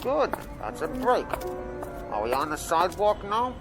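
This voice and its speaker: A man's voice: high-pitched, very animated, measured pace, heavily acted. A man voicing a bullying male ventriloquist's dummy that scorns its owner, in classic TV drama acting. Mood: scheming. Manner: scornful, domineering, insulting. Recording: old TV soundtrack with music underneath.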